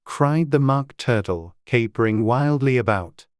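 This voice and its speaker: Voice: mid-range voice, lively intonation, a little slow, dry recording, clean studio sound. A narrator reading a storybook aloud as scripted audiobook narration.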